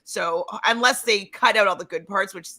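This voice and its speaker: A woman hosting a casual recap chat, her voice bright and a little raspy. She rambles easily, reading out viewer comments as she goes. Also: mid-range voice, moderate pace. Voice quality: slightly raspy voice right now. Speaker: podcast co-host.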